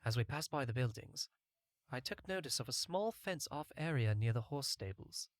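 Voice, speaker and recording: A man's voice: mid-range voice, lively intonation, moderate pace, no echo, clean studio sound. A man reading a first-person fantasy adventure story as a scripted audiobook narration.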